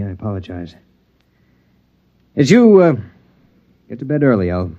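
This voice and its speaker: An actor playing a brusque, impatient husband in a 1950s radio drama. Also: male voice, on the low side, close to an everyday voice. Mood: apologetic. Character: stern, calculating husband. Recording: dull old radio broadcast.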